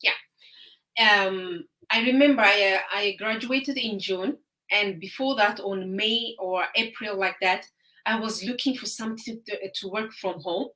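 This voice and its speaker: A woman in her thirties from Ethiopia, a guest on a live-stream interview over a video call, speaking haltingly with many pauses and fillers. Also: mid-range voice, sharp tone, low-quality recording. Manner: hesitant, halting, frequent uh fillers.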